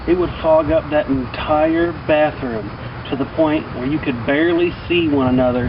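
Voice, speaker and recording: Male storyteller, colloquial and rambling, in a dull, distant recording swamped by heavy ambient noise. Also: fairly high pitch. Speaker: vlogger telling personal stories. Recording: distant mic, muffled, loud outdoor traffic-like noise.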